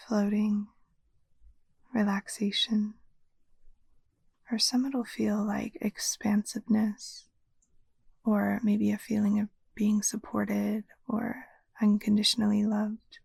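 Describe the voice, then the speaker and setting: Female meditation guide: very unhurried, hushed breathy delivery with gravelly fry. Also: mid-range voice, no room sound. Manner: soft, breathy near-whisper, soothing. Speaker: yoga nidra meditation teacher.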